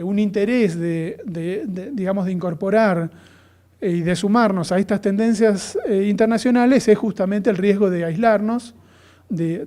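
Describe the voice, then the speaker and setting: A man's voice: high-pitched, warm tone. Man with an Argentine accent, lecturing. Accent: Argentine Spanish. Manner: thoughtful, many fillers and restarts. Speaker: lecturer on comparative education. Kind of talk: academic lecture.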